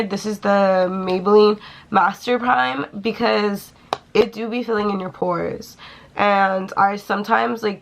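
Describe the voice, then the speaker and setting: A woman's voice: mid-range voice, gravelly, fairly bright tone, slight background noise. A young woman chatting casually and swearing freely while she does her makeup in a small room. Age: young adult. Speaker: beauty vlogger.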